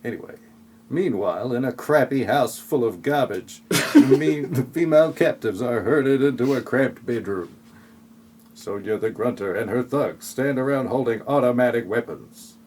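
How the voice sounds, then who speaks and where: A man's voice: mid-range voice, expressive, normal speed, darkish tone, a little hiss or hum. A man reading scripted comic narration for an audio play in a wry, flippant way.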